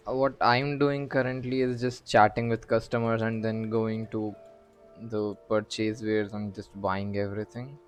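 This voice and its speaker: A man's voice: fairly low pitch, flat delivery, moderate pace. A man chatting casually on a game livestream, thinking aloud in a hesitant, puzzled way. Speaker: game streamer. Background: faint game music.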